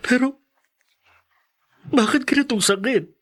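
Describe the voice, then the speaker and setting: A man's voice: very high-pitched, slow, heavily acted. A man playing an anxious, devoted young student in a radio drama, with a stammering, emotional delivery. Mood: anguished. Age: young adult. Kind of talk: Filipino podcast radio drama acting.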